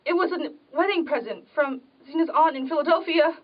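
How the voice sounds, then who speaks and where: A woman's voice: sits high, a little fast, natural voice. A woman playing a meek, anxious young woman in an amateur period drama. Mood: distressed. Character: timid, anxious young woman, devoted poor relation. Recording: muffled audio, distant microphone.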